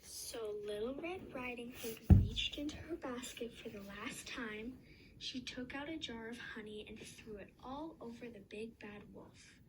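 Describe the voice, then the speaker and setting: A woman's voice: on the high side, measured pace, heavy background noise. A woman reading a children's fairy tale aloud as a storyteller.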